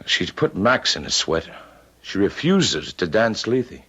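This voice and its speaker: An actor in an old radio drama playing a brisk, businesslike publicity man. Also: on the low side, sing-song, pushed and bright, slightly rough, lo-fi sound, exaggerated voice.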